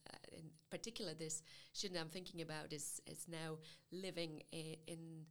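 Polish woman lecturer, flat steady tone, kinda halting with lots of uhs. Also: sits low. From Poland. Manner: careful, hesitant, many fillers. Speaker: psychology lecturer. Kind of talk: interview about university study.

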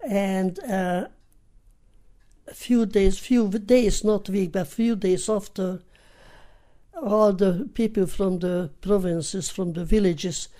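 Elderly female interviewee, Hungarian accent and native Hungarian, soft mellow tone, halting personal testimony in plain short phrases. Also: medium pitch, steady tone. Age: 80s or older. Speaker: interviewee, Holocaust survivor giving testimony.

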